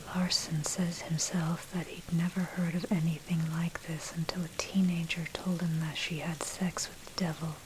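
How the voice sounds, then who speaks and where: A woman narrator reading a scripted history essay as ASMR in a breathy, unvoiced whisper, measured and deliberate. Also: on the low side, flat delivery. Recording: noisy track with rain and thunder. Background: rain and thunder ambience.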